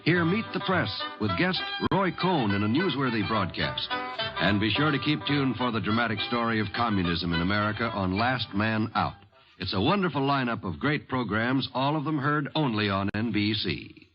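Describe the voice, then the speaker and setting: A man's voice: fairly low pitch, animated, moderate pace. Music plays behind a male announcer on a muffled vintage radio recording. He sells the line-up in a polished fifties promotional style, his mid-range voice rising and falling with animation.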